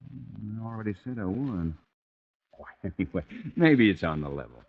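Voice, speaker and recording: A man's voice: fairly low pitch, animated, normal speed, vocal fry. An actor playing a wary, blunt, slangy man under suspicion in an old-time radio thriller. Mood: resigned. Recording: muffled old radio broadcast, phone-like.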